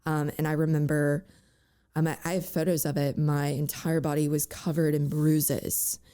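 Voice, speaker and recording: Guest, young woman, raspy with voice cracks, lowish pitch, mellow tone, halting delivery. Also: flat delivery. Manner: halting, many fillers and "like"s. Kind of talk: candid personal interview.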